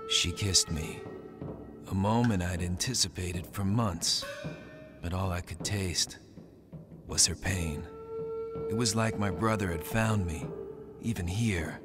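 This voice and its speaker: An actor playing a brooding, defiant young war pilot in a video game, terse and hard-boiled. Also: male voice, low voice, takes it slowly, long pauses, music in the background. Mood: grim, bitter. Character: brooding, defiant young man, war pilot seeking revenge on his brother. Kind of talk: video game voice acting, narration and dialogue.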